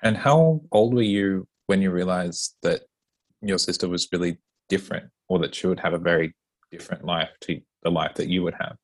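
A man hosting a casual interview, chatty and relaxed, with lots of fillers. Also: low-pitched, normal speed. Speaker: podcast host interviewing an author.

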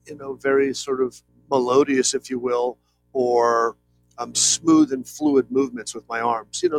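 A man's voice: medium pitch, rough recording. A man in his late fifties, a painter giving an interview, talking in an earnest, rambling way with many fillers. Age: 50s. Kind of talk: reflective conversational interview.

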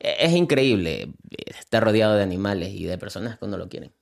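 A man's voice: on the low side, animated, fast, gravelly. A young man from Ecuador, who rescues animals. He tells his story in an earnest, heartfelt way and often repeats words when he is moved. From Ecuador, coastal Manta. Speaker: animal rescuer and content creator. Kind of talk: personal storytelling in an interview.

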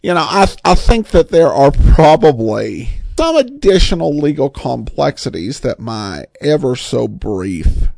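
A man hosting a solo podcast, giving informal commentary in a self-deprecating, hesitant way with frequent pauses. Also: on the high side, big pitch swings, vocal fry, a little mellow, dry recording. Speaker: podcast host.

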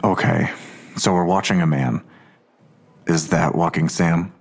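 A skeptical investigator in a fiction podcast. His voice is deep, and he talks with weary, sardonic dismissal in an understated, natural delivery. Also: measured pace. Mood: incredulous, skeptical. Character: Blossom, weary skeptical male investigator, a father. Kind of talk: naturalistic audio drama acting.

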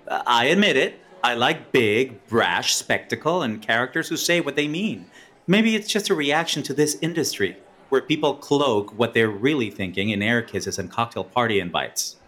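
Here's a blunt, sardonic playwright in a theater comedy. His voice swings widely in pitch as he jabs at rivals, and he speaks at a middling pitch with plenty of emphasis. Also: animated, moderate pace, a bit bright. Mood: defensive. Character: blunt, bickering male stage writer.

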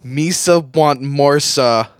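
A man voicing a drunken, goofy alien creature in drawn-out childlike broken grammar for a comedy ad. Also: on the high side, smooth, no room sound, clean studio sound. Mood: tipsy, goofy.

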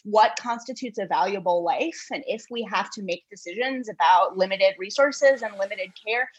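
Husky woman in earnest interview, dull audio. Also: mid-range voice. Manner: earnest, halting, reflective phrasing. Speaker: guest writer. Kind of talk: thoughtful interview answer.

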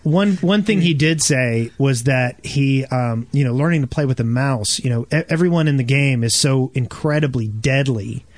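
A man's voice: medium pitch, moderate pace, hardly any pauses, no echo. A man co-hosts a casual gaming podcast in a mid-range voice. He restarts phrases often and thinks aloud as he goes. The sound is dry, with very little room in it. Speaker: podcast host. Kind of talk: casual panel chat about gaming.

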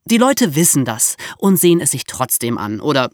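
A woman's voice: extremely low pitch, very animated, normal speed, no room sound, clean studio sound. A woman co-hosting a podcast in casual discussion. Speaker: podcast co-host.

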